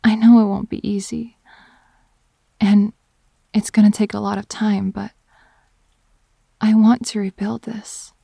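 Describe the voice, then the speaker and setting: Woman acting a remorseful girlfriend, slow and creaky. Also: medium pitch, flat delivery, dark tone, no echo. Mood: pleading, hopeful. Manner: halting, tender, confessional delivery. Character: grieving, apologetic girlfriend pleading to reconcile. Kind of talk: intimate dramatic audio roleplay.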